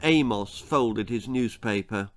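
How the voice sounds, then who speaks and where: A man's voice: lowish voice, slow pace, slight background noise. A man reading his own children's story aloud as a dramatic storyteller.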